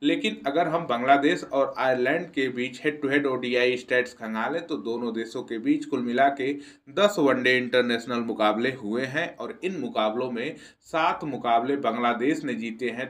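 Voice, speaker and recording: A young man hosting a cricket channel, reading a brisk, informative pitch report as a voice-over and addressing viewers as friends.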